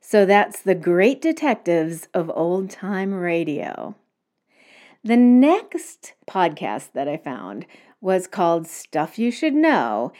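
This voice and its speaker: Slow, gentle woman podcast host. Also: fairly low pitch. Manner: gentle, conversational and unhurried. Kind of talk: solo podcast talk. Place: cottage in the woods.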